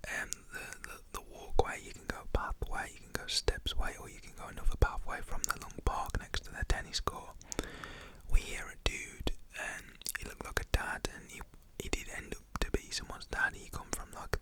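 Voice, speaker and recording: Whispering British storyteller, casual and slangy. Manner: informal, slangy, acts out quoted shouts in a whisper.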